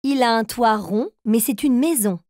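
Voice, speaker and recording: A woman's voice: a little high, moderate pace, a little mellow, no room sound, clean studio sound, lightly acted. A woman playing a patient, encouraging mother figure who tells riddles to young children in a cartoon. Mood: playful, teaching.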